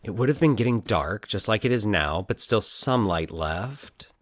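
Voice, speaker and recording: Man playing baffled young man with animated pitch. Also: normal speed, natural voice. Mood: intent, recalling. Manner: puzzled, musing to himself. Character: Mike, baffled, brooding young man investigating eerie coincidences. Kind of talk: fiction podcast dialogue within narration.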